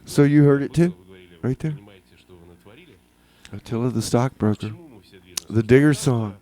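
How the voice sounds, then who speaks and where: A man works the mic as a comedic community radio host. His delivery is rambling and halting, looping back over his own phrases. He keeps a mock-earnest, playfully exasperated tone as he moves between songs. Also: mid-range voice, dark tone. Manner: rambling, halting, repeats himself.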